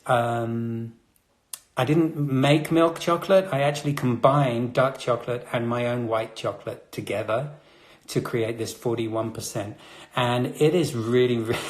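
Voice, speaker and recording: A man from Britain chats casually about his chocolates, chatty and a little nervous, with frequent ums. His voice sits in an ordinary middle pitch. The room around him gives a noticeable echo. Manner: chatty, nervous, many fillers. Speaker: chocolatier host. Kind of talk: casual live chat. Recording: roomy echo.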